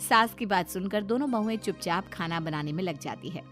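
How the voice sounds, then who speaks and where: A woman's voice: medium pitch, a little fast, a bit bright, faint music behind, lightly acted. A woman narrating a scripted cartoon family story. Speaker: narrator and character voice.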